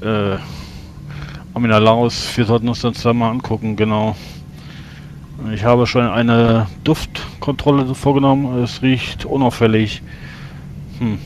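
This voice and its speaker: A man playing a tabletop role-playing game, narrating his character's actions in a halting, tentative way. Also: fairly low pitch, slight background noise. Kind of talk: improvised in-character play, narrating own actions.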